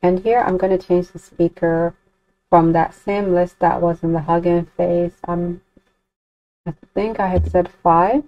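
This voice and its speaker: A woman giving a casual software walkthrough as a tutorial host, talking as she works through the steps. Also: on the low side, steady tone, unhurried, dry recording. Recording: dull lo-fi home recording.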